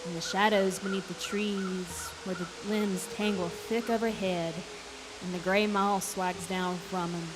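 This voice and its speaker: A woman's voice: mid-range voice, steady tone, unhurried, background noise. A woman storyteller narrating a folksy horror tale live on stage, with dramatic phrasing and rustic dialect. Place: live stage in a recreation center.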